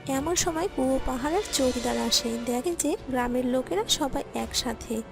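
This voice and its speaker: A female narrator with a very high voice tells a cartoon story from a script. Music plays clearly underneath her narration. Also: moderate pace.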